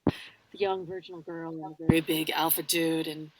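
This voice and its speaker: A woman chatting casually as an interview guest, telling stories in an animated way and acting out her own remarks. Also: low voice, moderate pace, background noise. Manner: animated, self-quoting storytelling. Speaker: guest audiobook narrator. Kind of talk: casual podcast interview chat.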